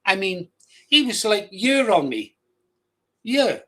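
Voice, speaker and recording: A man's voice: sits high, very animated, slow pace, bright, forceful tone. An older man with a Welsh accent, hosting his own channel in a blunt, rambling casual monologue. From England, raised in Wales from age ten. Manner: blunt, crude, rambling with restarts. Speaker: channel host.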